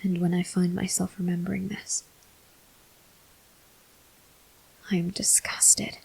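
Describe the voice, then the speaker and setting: A woman playing a brooding, guilt-ridden male vampire officer in an ASMR roleplay, whispering breathily in slow, halting, formal phrases. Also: fairly low pitch, little pitch movement, vocal fry, a little mellow, husky, full character voice, low-quality recording. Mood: tormented, hungry.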